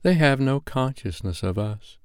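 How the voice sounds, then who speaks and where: A man reading aloud voices a gentle spirit, lifting his pitch and speaking calmly and solemnly. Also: measured pace, clean tone, dry recording, clean studio sound, natural voice. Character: gentle, mild ghost guiding an old man. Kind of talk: audiobook character voice.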